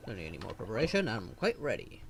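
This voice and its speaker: A man's voice: on the low side, wildly swooping pitch, quick pace, creaky, a little hiss or hum. A man giving casual gameplay commentary as he streams a video game. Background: game music.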